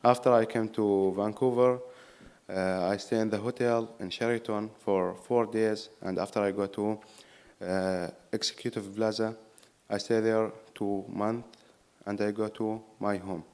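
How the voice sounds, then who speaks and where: A young man from Syria with a Syrian Arabic accent speaking simple, halting English at a meeting. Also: lowish voice, steady tone, darkish tone, clean tone. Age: young adult. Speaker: youth program client speaking at a meeting.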